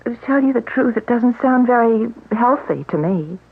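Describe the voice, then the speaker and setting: An actress playing a friendly housewife who talks in a lively, reassuring way in an old radio drama. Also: female voice, on the high side, moderate pace. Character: Gwen, brisk friendly housewife. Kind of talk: 1970s radio drama acting. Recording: tinny old radio broadcast.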